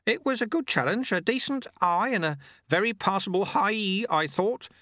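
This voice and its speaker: A man's voice: moderate pace, no room sound, clean studio sound. A man doing a sly, twinkling little old sweeper. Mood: amused kindly. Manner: genial, gently teasing, high and bright.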